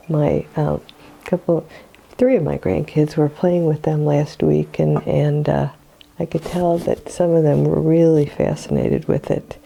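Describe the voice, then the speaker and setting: Hoarse older woman, slow and soothing. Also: low voice, dark tone, low-quality recording. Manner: slow, soothing, with throat clearing. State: hoarse from a cold. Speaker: meditation guide. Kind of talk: guided meditation.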